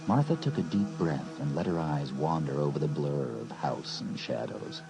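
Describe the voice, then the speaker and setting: A man narrating a horror audiobook in a measured, brooding storyteller's manner. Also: extremely low pitch, expressive, music in the background, low-quality recording. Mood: eerie. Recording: hissy old cassette recording.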